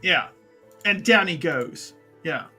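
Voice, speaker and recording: A man with a slightly high, bright and husky voice plays a tabletop roleplaying game. He talks casually and excitably, doubling back on his words, over faint music. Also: takes it slowly. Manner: excitable, repeats words as he goes.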